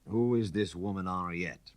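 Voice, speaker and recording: A man acting out a police commissary in a classic storybook. He is low-pitched, formal and methodical, questioning pointedly, with a dry, close sound. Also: moderate pace, no room sound, lightly acted. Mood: pointed, serious.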